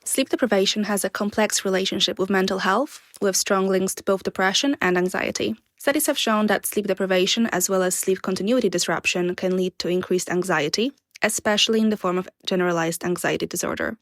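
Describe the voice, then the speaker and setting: A young woman from Poland, a PhD student, reading a prepared answer on sleep science in a measured, formal way. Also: medium pitch, no room sound. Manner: measured, formal explanatory delivery.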